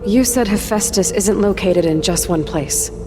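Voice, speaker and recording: A woman's voice: mid-range voice, moderate pace, close to an everyday voice. Woman acting earnest young game heroine, music behind. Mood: probing, determined. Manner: earnest, conversational, probing. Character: determined, questioning young female sci-fi adventurer. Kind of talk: scripted video game cutscene dialogue. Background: background music.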